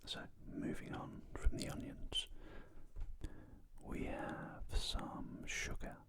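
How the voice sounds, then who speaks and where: Very slow whisper, ASMR style. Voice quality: breathy unvoiced whisper. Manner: gentle, unhurried, with pauses. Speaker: host of cooking videos. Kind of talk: whispered ASMR cooking narration.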